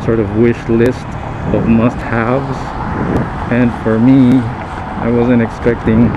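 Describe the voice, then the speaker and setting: A man's voice: mid-range voice, little pitch movement, muffled recording. Man musing on a motorbike. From Philippines. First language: Tagalog. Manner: rambling, halting, reflective, many fillers and restarts. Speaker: podcast host. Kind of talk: casual spoken monologue while riding. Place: on a motorcycle in city traffic, outdoors. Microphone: helmet-mounted mic. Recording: loud road and traffic noise.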